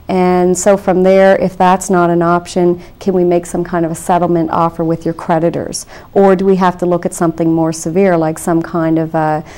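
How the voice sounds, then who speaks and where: A woman being interviewed as a debt counselor, explaining things in a measured, reassuring way with frequent "you know" fillers, in a television studio. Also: lowish voice, dark tone. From Canada.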